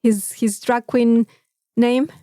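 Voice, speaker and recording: A woman with a Mexican accent and Spanish as her first language, chatting casually as a podcast co-host and telling stories with quick interjections. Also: a little high, steady tone, warm tone, dry recording, clean studio sound. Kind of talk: casual chat.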